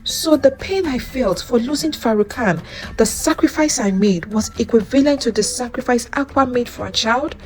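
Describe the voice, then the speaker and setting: A woman's voice: on the high side, moderate pace, darkish tone, close to an everyday voice. A woman voicing a frail but determined, devoted young woman in a folktale, speaking earnestly. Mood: awed, curious. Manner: earnest, clear. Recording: music under the voice.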